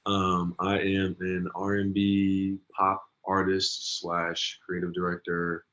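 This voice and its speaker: A man in his early twenties talks about himself as a guest in an easygoing interview, filling gaps with ums and likes. His voice is very deep, and the recording sounds dull and lo-fi. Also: monotone, slow, a bit bright. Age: 20s. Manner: laid-back, many ums and likes. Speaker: guest, singer. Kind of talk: casual interview.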